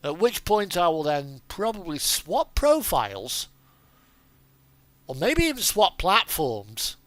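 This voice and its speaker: Male gamer, casual solo commentary. Bright, very lively intonation, chatty and sweary, close dry sound. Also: sits high, takes it slowly, dry recording. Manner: sweary, talking to himself and the game. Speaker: streamer playing a truck driving simulator.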